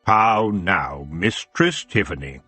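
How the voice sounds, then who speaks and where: A towering, languid king of the elves, as a male reader puts him on speaks in a low, smooth, unhurried drawl that sounds polite but dangerous. The voice is pitched deep and the words are stretched out. The recording is close and dry. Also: animated, sharp tone, no room sound, soft background music, heavily acted. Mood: silky, intrigued. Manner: slow, lazy and silky, turning cold. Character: huge, lazy, menacing male elf king. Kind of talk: audiobook character voice.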